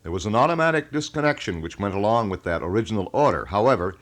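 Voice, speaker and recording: Low-voiced man hosting radio with wide intonation. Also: very animated, fairly bright tone. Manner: wordy, detailed, measured explanations. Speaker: radio talk show host. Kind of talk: live local radio talk, explaining and chatting with callers. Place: radio studio.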